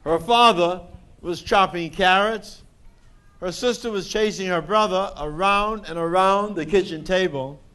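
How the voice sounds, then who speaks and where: A man narrating a children's picture book expressively and deliberately, with dramatic pauses. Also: high-pitched, pushed and bright. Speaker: storybook reader.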